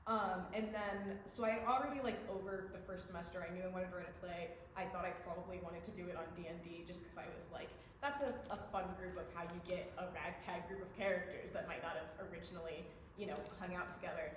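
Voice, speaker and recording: A woman's voice: fairly low pitch, fairly even intonation, fast, few pauses. A young woman answering questions as a playwright in a casual, hesitant, think-aloud way. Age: young adult. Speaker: student playwright. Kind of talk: casual post-show Q&A answers. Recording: very echoey room, faint background noise.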